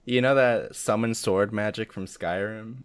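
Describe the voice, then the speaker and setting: A man's voice: on the low side, measured pace, clear, resonant tone, no echo. A man co-hosting a podcast, chatting and joking casually with informal, sweary language. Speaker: podcast co-host.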